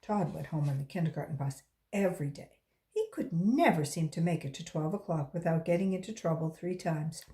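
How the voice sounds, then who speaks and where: A woman volunteer reading aloud to children in an expressive storytelling style, acting out the dialogue with emphasis. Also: low-pitched, a little fast.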